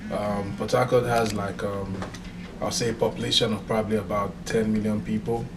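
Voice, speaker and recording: A man's voice: on the low side, little pitch movement. A young man from Nigeria, a student answering interview questions in a hesitant way with many ums. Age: young adult. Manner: hesitant, fills pauses with um. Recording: distant mic with clear background noise.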